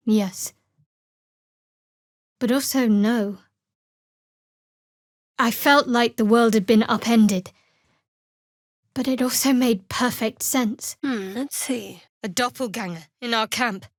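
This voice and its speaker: A woman playing a guarded, devout young cleric of a dark goddess in a fantasy video game, speaking in a measured, dry, slightly wry way. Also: fairly high pitch, dry recording. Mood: earnest, reflective. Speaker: video game character voice actor. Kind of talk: scripted fantasy game dialogue.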